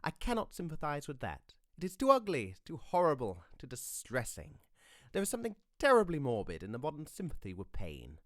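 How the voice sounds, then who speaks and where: Man playing a languid young lord, drawling, ironic, pitch leaping high and low, storybook reading. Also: wildly swooping pitch, brisk, no room sound, studio quality. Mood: languid wit.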